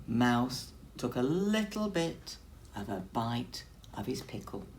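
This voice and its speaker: A woman's voice: very deep voice, big pitch swings, takes it slowly, a little mellow, a little hiss or hum. A woman teacher reading a story expressively to young children.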